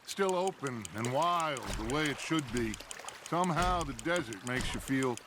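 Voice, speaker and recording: Actor as a slow, theatrical American gang leader. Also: male voice, mid-range voice, animated, lightly acted. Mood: reflective, reassuring. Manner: theatrical, expansive, philosophical. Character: grandiose, upbeat middle-aged outlaw gang leader. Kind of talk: cinematic western game acting. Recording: loud watery boat noise behind. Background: water and boat sounds.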